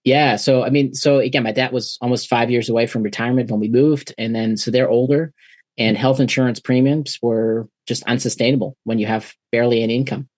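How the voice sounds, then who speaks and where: Forties guest, German native speaker, middle pitch, dry close sound, thinks out loud with lots of uhs. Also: male voice, steady tone, fairly quick, no echo. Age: 40s. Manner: thoughtful, many uh fillers and restarts. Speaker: podcast guest. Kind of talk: reflective interview conversation.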